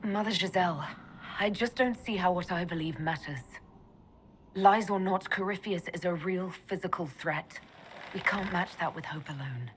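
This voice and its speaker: An actress playing a blunt, practical soldier who speaks dryly and skeptically. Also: female voice, fairly low pitch, moderate pace, a little hiss or hum, lightly acted. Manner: blunt, dry.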